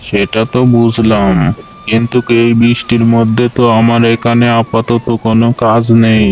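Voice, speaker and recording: A man voicing a gruff, condescending village landlord in a cartoon folk tale. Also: medium pitch, completely flat delivery, normal speed, a little mellow, natural voice. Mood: dismissive. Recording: music under the voice.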